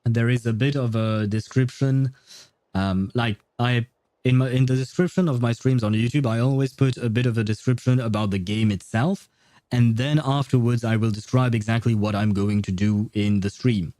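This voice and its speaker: A man's voice: medium pitch, steady tone, normal speed, mellow, no room sound. A man streaming a game, reading chat and chatting in a rambling, hesitant way with many fillers and frequent laughs. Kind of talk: livestream gameplay commentary, reading chat.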